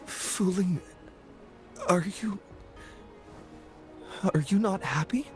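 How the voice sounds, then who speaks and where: A lovestruck, desperate man in a game drama. He speaks very slowly and intensely, in a fairly light register for a man, with music underneath. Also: high-pitched, a little mellow. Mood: pleading. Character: devoted, feverish lover desperate to save their beloved. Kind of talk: video game drama acting.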